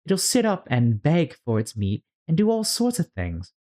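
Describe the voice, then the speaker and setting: A man voicing a polite, anxious young girl in a storybook, with a high, light voice and an earnest, pleading manner. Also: huge pitch swings, moderate pace, warm tone. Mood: eager, pleading.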